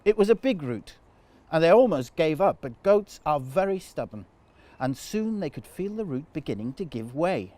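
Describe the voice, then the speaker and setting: A man telling a children's story in an animated storytime narration style. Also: fairly high pitch, moderate pace, no echo.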